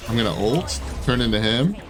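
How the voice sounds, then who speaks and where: A man's voice: medium pitch, animated, brisk, music in the background. A man giving casual, rambling gameplay commentary in slangy gamer talk, sounding congested, with his voice slightly giving out. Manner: slangy, rambling, self-deprecating, says dude and bro. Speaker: gaming YouTuber.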